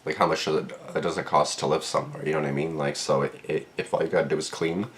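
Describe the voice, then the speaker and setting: A man's voice: extremely low pitch, flat delivery, quick pace, fairly bright tone, slight background noise. A young man with a cold, sniffling as he hosts a casual reaction video, rambling with lots of fillers and sarcastic asides.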